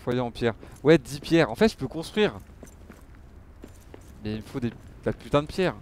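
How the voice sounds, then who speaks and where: A man's voice: medium pitch, lively intonation, moderate pace, background noise. A man giving casual live game commentary, muttering to himself and swearing freely. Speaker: game streamer.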